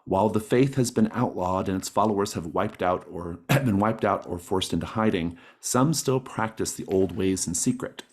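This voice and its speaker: A man with a very low-pitched voice runs a tabletop roleplaying game. He explains things to players in an encouraging, accommodating way over an online call. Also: normal speed, few pauses, breathy edge. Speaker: game master, host. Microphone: online group call.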